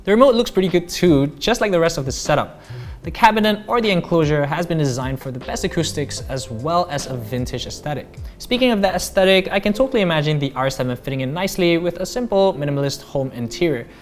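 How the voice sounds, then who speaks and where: A man's voice: on the high side, lively intonation, quick pace, soft background music. A man in his thirties with a Malaysian accent, narrating a scripted product review as a tech reviewer.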